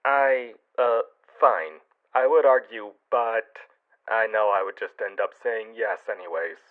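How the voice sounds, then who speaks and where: A man playing a reluctantly obliging friend on a phone call in an audio drama, halting as he talks. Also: fairly low pitch. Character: Jeremy, hesitant, reluctantly obliging male friend. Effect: phone call filter. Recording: thin, narrow phone-line sound.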